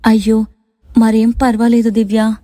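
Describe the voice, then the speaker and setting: Earnest young wife, acted by a woman, even-toned. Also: a little high, unhurried, no echo, clean studio sound, soft background music, lightly acted. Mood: forgiving. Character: reserved young wife with a secret curse.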